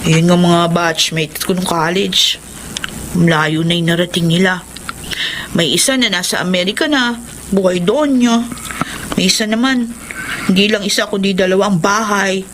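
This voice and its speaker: A woman's voice: on the low side, fairly even intonation, normal speed, pauses often, a bit bright, a little hiss or hum, close to an everyday voice. A woman playing a discontented young wife worn by money worries in a Tagalog radio drama, speaking in a plaintive, conversational way. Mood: frustrated, plaintive.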